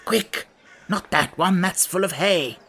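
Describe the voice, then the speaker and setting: A man's voice: fairly high pitch, lively intonation, moderate pace, fairly bright tone. A man voicing a mild, fussy old storybook king, nervous and given to muttering and repeating himself. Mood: hurried.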